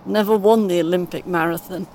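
A woman playing a kindly, encouraging British grandmother in her eighties in an audio play, speaking gently and reassuringly. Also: steady tone, brisk, close to an everyday voice, poor audio. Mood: reminiscing. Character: kindly, encouraging 81-year-old grandmother on a coach. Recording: clear vehicle rumble noise. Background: vehicle ambience.

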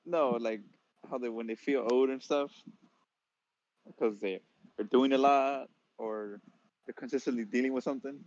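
A man of twenty, a podcast guest, telling stories in a relaxed casual chat. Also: medium pitch, normal speed, long pauses, no room sound, lo-fi sound. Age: 20s.

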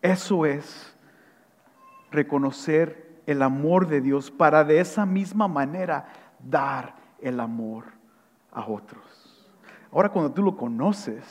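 A man preaching an animated sermon, swinging between loud excited voices and soft hushed lines. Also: a little high, takes it slowly. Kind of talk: animated sermon with acted-out anecdotes. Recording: long hall reverb.

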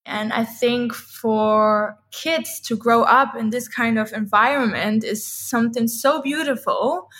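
A woman's voice: a little high, takes it slowly, few pauses, a bit bright. A woman in her thirties whose first language is Dutch, chatting as an interview guest in a rambling way, full of "like" fillers. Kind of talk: casual interview chat.

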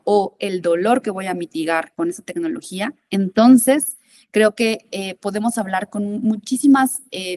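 A woman talks as an interview guest, working her thoughts out aloud with frequent fillers and self-corrections. She slips English business terms into her sentences. Her pitch sits in a middle range, and the sound is dry and close. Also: darkish tone, dry recording. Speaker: interview guest, innovation consultant. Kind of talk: conversational podcast interview.

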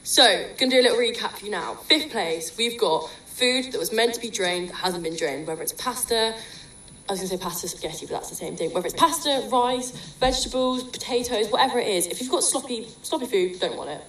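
A young woman, about twenty, hosting a student radio show in casual, jokey, opinionated chat, her voice a bit croaky. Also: a little high, animated, brisk, a bit bright, low-quality recording. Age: young adult.

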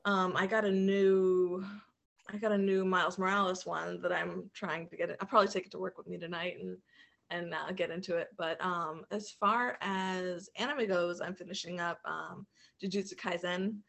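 Woman rambling casually, slightly low voice. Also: steady tone, normal speed, gravelly, fairly bright tone. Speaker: podcast co-host. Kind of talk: casual chat about shows and comics.

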